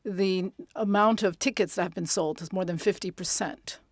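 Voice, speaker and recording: A woman interpreting live at a conference, speaking in measured, segmented phrases with pauses. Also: fairly low pitch. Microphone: close interpreter's microphone.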